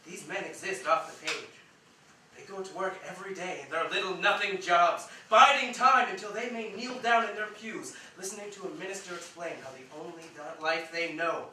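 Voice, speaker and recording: A man's voice: high-pitched, pushed and bright. A man on stage playing a pretentious, earnest, pushy film-buff writer, opinionated and declamatory. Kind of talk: theatrical staged-reading dialogue. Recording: distant echoey stage recording, faint noise.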